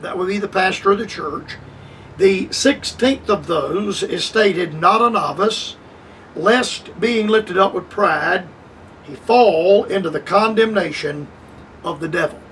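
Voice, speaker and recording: An older man giving a radio Bible lesson, measured and repetitive, restarting phrases with frequent hesitations. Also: high-pitched, a bit bright, distant mic, slight background noise, low-quality recording. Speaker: radio preacher.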